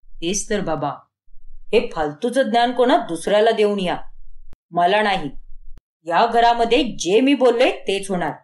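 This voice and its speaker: A woman's voice: medium pitch, animated, a little slow, pauses often, darkish tone, voice pushed down, close to an everyday voice. A woman voicing a stern, pompous middle-aged father-in-law, scolding and commanding, in a storybook cartoon. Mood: angry, commanding.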